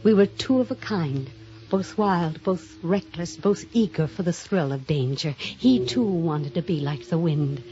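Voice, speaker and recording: A woman's voice: lowish voice, animated, unhurried. An actress playing a secretive, reckless murderess who narrates her own crime with dramatic intensity in 1950s radio mystery style. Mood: brooding narration. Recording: dull old radio recording, loud music behind.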